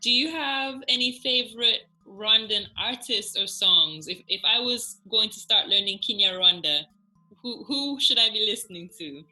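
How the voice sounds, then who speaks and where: Woman interviewer, super bright voice, upbeat chatty questions, a bit of music faintly behind. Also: medium pitch, normal speed, low-quality recording. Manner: enthusiastic, warm reactions with hesitations. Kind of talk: casual interview.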